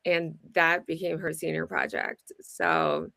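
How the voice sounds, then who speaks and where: A woman's voice: sits low, big pitch swings, takes it slowly, gravelly, bright, forceful tone, no room sound. A woman co-hosting a video chat, talking in a heartfelt, chatty way. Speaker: co-host. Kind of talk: casual chat on a live video call.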